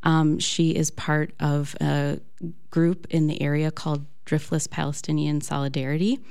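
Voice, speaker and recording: A woman with a low, gravelly voice and an American accent hosts a casual podcast chat. She talks loosely, with frequent "um"s as she gathers her thoughts. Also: flat delivery, moderate pace, a little mellow. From United States. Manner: chatty, many "um" fillers. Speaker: podcast host. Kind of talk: casual interview.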